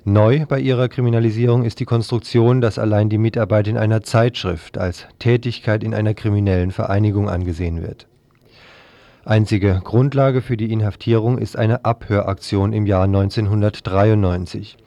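A man's voice: lowish voice, steady tone, normal speed, mellow, dry recording, lo-fi sound. A man presenting a scripted news report on the radio.

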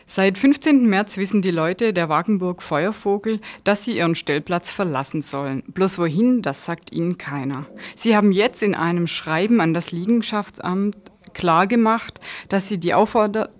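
A woman reading scripted news as a radio presenter. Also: lowish voice.

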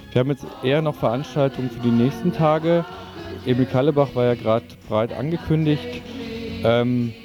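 A male radio host with a mid-range voice reads out announcements over clearly audible music. His phrasing is loose and a little halting, as if speaking without a full script. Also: mid-range voice. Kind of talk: unscripted community radio announcements.

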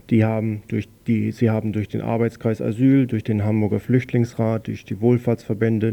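Male news presenter, very dark gravelly voice, flat measured delivery. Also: on the low side. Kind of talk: read news introduction.

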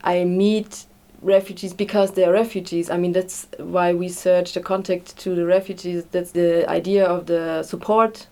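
A woman's voice: on the low side, level tone, moderate pace, darkish tone. A woman giving unscripted interview answers as an activist, hesitantly searching for her words. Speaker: refugee-support activist being interviewed.

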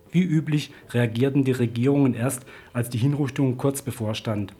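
A man's voice: medium pitch, warm tone, breathy edge. A man reading a scripted political news report on the radio.

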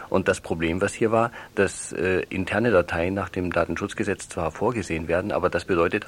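A man with a very low voice that crackles with fry and has a husky edge. He speaks as a lawyer in a radio interview, laying out legal points in formal wording and halting to rework his phrases. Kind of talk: radio interview, explaining legal matters at length.

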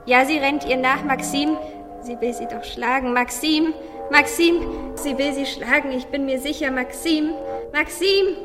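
A woman playing a lonely, anxious little boy's inner voice in an amateur radio play, childlike and halting. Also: high voice. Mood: alarmed. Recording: long reverb, music underneath.

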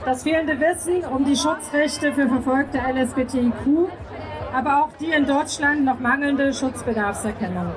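Woman, high register, formal rally speaker reading from a script, noisy public space with audible crowd. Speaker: speaker for a queer refugee support group. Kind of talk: prepared public speech, read out.

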